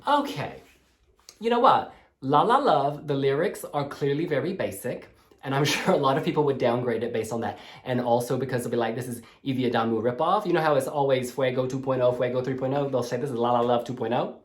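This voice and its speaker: A man's voice: medium pitch, brisk. A man hosting a music blog video, gushing and sassy as he casually reviews song demos. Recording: roomy echoey room.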